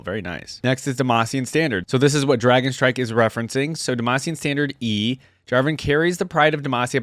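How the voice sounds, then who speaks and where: A man's voice: medium pitch, dry recording. A male gaming host talks casually, thinking aloud as he reads and reacts. His delivery is informal and chatty, and the sound is close and dry with no room around it. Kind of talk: casual reaction commentary, reading game text aloud.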